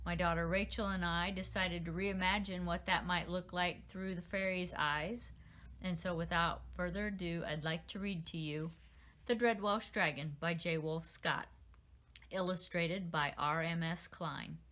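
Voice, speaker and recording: A woman, the author, reading her children's storybook aloud with gentle, expressive storytelling. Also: lowish voice, unhurried.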